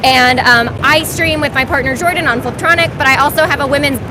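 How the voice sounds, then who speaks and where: A woman from Texas with a fairly high voice talks about pinball streaming and her podcast, friendly and enthusiastic. She scatters "like" and "um" through her speech. A crowd and steady noise surround her at the booth. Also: a little fast. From Austin, Texas, USA. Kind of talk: casual chat. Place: busy convention booth.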